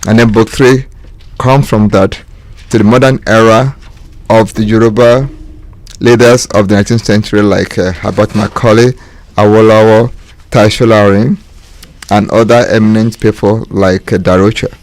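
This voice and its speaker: A man giving an explanatory talk as a radio guest, in deliberate phrases broken by pauses. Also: on the low side, fairly even intonation, a little mellow, dry recording. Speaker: author and radio guest speaker.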